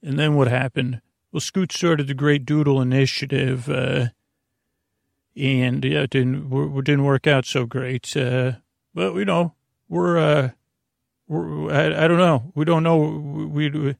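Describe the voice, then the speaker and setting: A man hosting a sleep podcast in a creaky voice, meandering and halting through whimsical, self-deprecating tangents as he eases listeners toward bed. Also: on the high side, steady tone, no echo, studio quality. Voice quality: creaky, gravelly. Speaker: podcast host. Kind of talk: rambling bedtime sleep podcast monologue.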